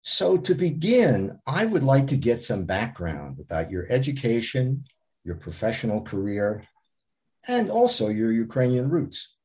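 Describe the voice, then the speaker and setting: A man's voice: medium pitch, big pitch swings, a little slow, a little mellow. A man hosting and producing a podcast, reading a formal introduction and asking interview questions. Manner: formal, reads clearly.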